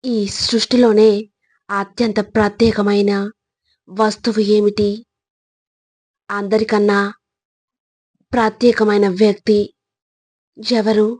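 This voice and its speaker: A woman narrates a motivational message in a husky voice at an average pitch. She speaks in deliberate, measured phrases with an earnest, exhorting manner and little melodic movement. The recording is dry and close, without room sound. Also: flat delivery, darkish tone, dry recording.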